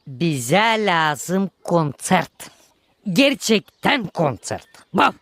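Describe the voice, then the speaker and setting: An actor voicing an excitable cartoon dog in a band, exclamatory and punctuating the talk with woofs. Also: medium pitch, very slow, background noise, full character voice. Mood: eager. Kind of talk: animated cartoon voice acting.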